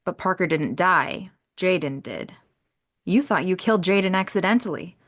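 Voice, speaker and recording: An actress playing a poised, articulate detective's partner in an audio theater mystery, formal and assured. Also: female voice, fairly low pitch, expressive, moderate pace, fairly bright tone. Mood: firm, explanatory.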